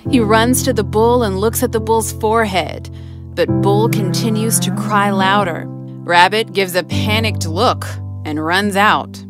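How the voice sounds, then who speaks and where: A woman narrating an animated children's story in a clear, even storytelling manner. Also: medium pitch, unhurried. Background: music.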